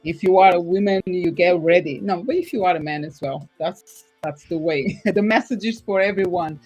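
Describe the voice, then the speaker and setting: A woman's voice: on the low side, normal speed, a little mellow, husky, faint music behind, poor audio. A woman from Portugal hosting a casual podcast interview, enthusiastic, laughing often, her English sometimes slipping. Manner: enthusiastic, laughs often, speaks non-native English with slips. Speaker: podcast host.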